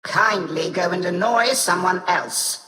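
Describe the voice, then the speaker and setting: A woman's voice: on the low side, a little slow, sharp tone. An actress voicing a haughty, curt female tech-priest in a sci-fi video game, imperious and clipped in her disdain. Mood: irritated. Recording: reverberant echoing hall.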